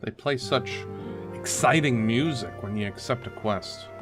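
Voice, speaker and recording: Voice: mid-range voice, unhurried, loud music behind the voice. A game streamer giving casual, jokey playthrough commentary and mimicking how the characters would react.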